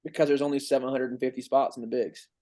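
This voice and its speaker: A young man in his twenties talks through his experience in a loose, conversational way, often restarting his sentences as he goes. His voice sits at an ordinary mid pitch for a man, and he sounds easygoing rather than polished. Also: fast. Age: 20s. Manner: relaxed, rambling explanations with many restarts. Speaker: pro baseball player, podcast guest. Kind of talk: casual chat.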